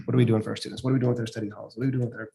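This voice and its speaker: Male board member, rapid and informal, working through questions aloud at a public meeting. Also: medium pitch, flat delivery, vocal fry, mellow, slight background noise. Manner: informal, thinking aloud, peppered with "like". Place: school board meeting room.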